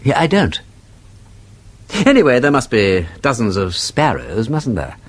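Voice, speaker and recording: Gentleman sleuth, upper-class English accent, very animated intonation, urbane, persistent, theatrical, radio drama. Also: mid-range voice, measured pace, breathy edge. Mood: feigned casual curiosity. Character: witty, inquisitive gentleman sleuth, playful and persistent. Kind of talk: British radio drama acting.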